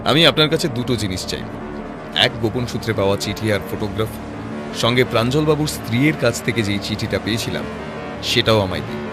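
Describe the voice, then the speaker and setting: A man playing a composed, sharp-witted private detective in an audio drama, reasoning in a measured voice with rhetorical questions. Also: on the low side, animated, close to an everyday voice. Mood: calm, explaining. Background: background music.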